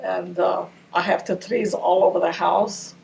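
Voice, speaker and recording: A woman's voice: on the low side, level tone, unhurried, creaky, darkish tone. An older woman from Ramallah whose first language is Arabic, recalling her childhood in an oral history interview. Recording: distant mic with faint noise.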